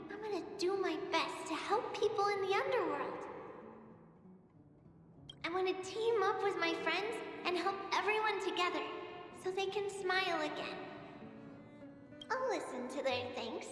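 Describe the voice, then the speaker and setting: A woman's voice: very high-pitched, moderate pace, pauses often, fairly bright tone. A woman voicing a hopeful, sickly young girl in a video game, earnestly and wistfully reading out her dreams of becoming a fighter. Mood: shy, admiring. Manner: earnest, wistful, childlike storytelling. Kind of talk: video game voice acting, reading a letter aloud. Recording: long echoing reverb, music underneath. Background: music.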